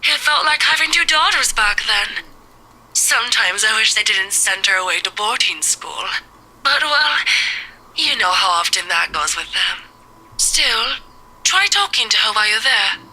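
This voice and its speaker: A soft-spoken game mum, middle-aged, bright voice that swoops up and down. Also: female voice, medium pitch, expressive, measured pace, exaggerated voice, poor audio. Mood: affectionate. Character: doting middle-aged mother, gentle. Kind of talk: visual novel voice acting.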